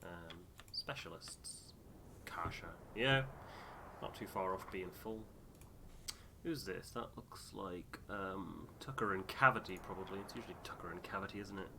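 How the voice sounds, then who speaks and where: A man's voice: sits low, normal speed, gravelly. A man giving casual playthrough commentary as co-host of a gaming video. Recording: background noise, keyboard and mouse clicks.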